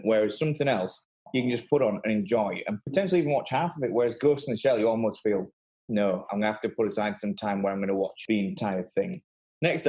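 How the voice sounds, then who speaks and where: A man's voice: fairly low pitch, a little mellow, rough recording. A man hosting a podcast in casual chat, talking in an emphatic, opinionated way. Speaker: podcast host.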